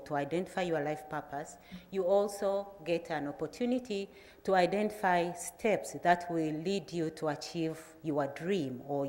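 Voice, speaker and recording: A middle-aged Tanzanian woman with a Tanzanian accent, giving a measured, plain presentation to an audience, with frequent pauses. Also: sits low, dark tone. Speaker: agricultural researcher giving a talk. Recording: reverberant lecture hall.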